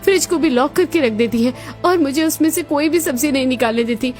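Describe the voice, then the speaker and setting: A woman's voice: sits high, brisk, a little mellow, lightly acted. A woman voicing a young, village-raised daughter-in-law in a cartoon, earnest and insistent in her speech. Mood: indignant.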